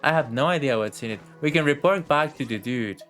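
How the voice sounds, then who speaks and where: A man's voice: mid-range voice, lively intonation, a little fast, a bit bright. A man giving casual live game commentary, rambling to himself and swearing now and then. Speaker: game streamer. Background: faint game music.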